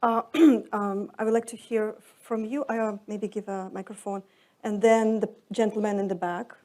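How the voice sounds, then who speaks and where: A woman's voice: mid-range voice, fairly even intonation, moderate pace, warm tone. A woman moderating a panel, politely handing the floor to the audience with brief remarks and a few fillers.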